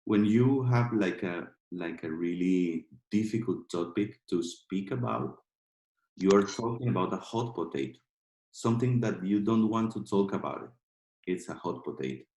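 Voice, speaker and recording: A man from Colombia speaking English with a Colombian Spanish accent on a video call, talking casually in halting phrases full of "like" and restarts while he cooks in his kitchen. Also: low voice, animated, a little mellow. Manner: halting, many filler "like"s and restarts. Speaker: guest speaker. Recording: echoey room.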